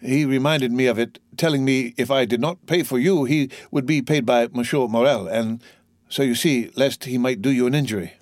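A man voicing a gentle, humble old father, speaking haltingly as in a dramatised classic audiobook. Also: mid-range voice, no echo, studio quality, close to an everyday voice. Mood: gentle, apologetic. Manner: gentle, halting, self-effacing. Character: frail, tender old father, poor and humble.

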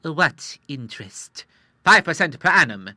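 A man voicing a hot-tempered retired admiral of sixty-three, speaking loudly and with affront. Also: on the high side, big pitch swings, a little slow, dry recording, studio quality, pitched above the performer's own voice, full character voice. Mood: indignant. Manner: loud, blustering and affronted.

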